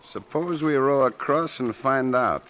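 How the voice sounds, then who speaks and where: An actor playing a ruthless, bullying bank robber with tough slangy talk in a 1940s radio drama. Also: male voice, mid-range voice, measured pace, fairly bright tone, close to an everyday voice. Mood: domineering. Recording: dull lo-fi old radio recording.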